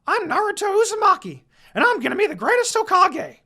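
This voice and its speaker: Woman playing a loud boy ninja, high-pitched, brash, boastful, excitable, animated, fan fiction story. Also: fairly quick, full character voice. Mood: boastful, excited. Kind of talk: amateur fan fiction reading.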